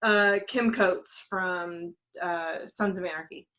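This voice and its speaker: A woman's voice: fairly low pitch, slow, fairly bright tone. A woman on a podcast panel, talking in a casual, conversational style.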